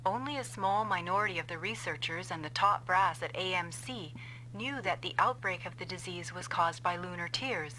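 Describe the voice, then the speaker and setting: An actress playing a vengeful female scientist in an anime-style game dub, explaining coldly and deliberately. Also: lowish voice, bright, forceful tone, a little hiss or hum, poor audio. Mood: grim, confessing. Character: vengeful female scientist confessing a revenge plot.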